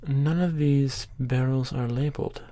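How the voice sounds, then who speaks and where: A woman playing a watchful, practical companion in a fantasy audio drama, plain and earnest, with a creaky, husky voice. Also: rumbling low, steady tone, a little slow, dark tone. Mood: focused, earnest. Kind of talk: fantasy audio roleplay acting.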